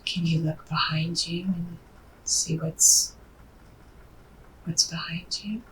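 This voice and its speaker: A woman working as a hypnotherapist, asking gentle guiding questions in a soft, breathy near-whisper with murmured acknowledgements. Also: fairly low pitch, steady tone, takes it slowly. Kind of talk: hushed, slow guided hypnosis questioning. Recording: distant mic, background noise.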